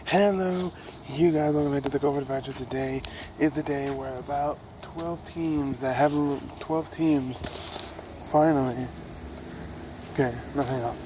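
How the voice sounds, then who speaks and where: A man's voice: medium pitch, steady tone, a little slow, background noise. A young man with a congested, nasal voice rambles through a casual baseball vlog outdoors, with many restarts and fillers. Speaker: vlogger. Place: outdoors near buildings. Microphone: phone camera held low.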